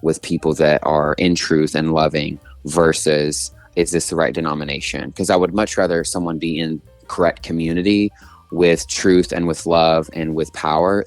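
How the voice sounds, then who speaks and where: A man's voice: rumbling low, steady tone, moderate pace, dry recording, faint music behind. A man in his twenties from Oklahoma with an American accent, answering casually as a guest in a radio interview. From Oklahoma, United States. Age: 20s. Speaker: interview guest, singer-songwriter.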